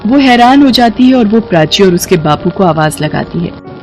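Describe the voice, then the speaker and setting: A woman's voice: medium pitch, animated, normal speed, a little mellow. A woman storyteller reading a scripted moral tale, with music behind her.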